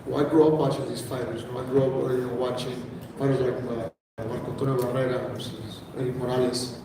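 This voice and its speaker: Flat-voiced male boxer in an echoey hall. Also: mid-range voice, fast, noisy background. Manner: earnest, repeats "you know". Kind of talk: press conference answers. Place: large event room. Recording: echoey hall picked up from far off.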